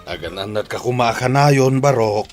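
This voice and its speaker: Man playing a kindly mid-pitched Filipino uncle. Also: normal speed, a little mellow, soft background music, natural voice. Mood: caring. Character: kindly, caring village uncle, middle-aged man. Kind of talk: radio drama acting.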